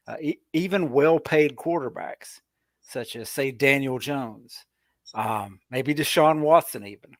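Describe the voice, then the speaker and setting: A man's voice: medium pitch, dry recording. A man giving his take on a casual sports podcast, rambling and restarting his words as he thinks. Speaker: podcast panelist.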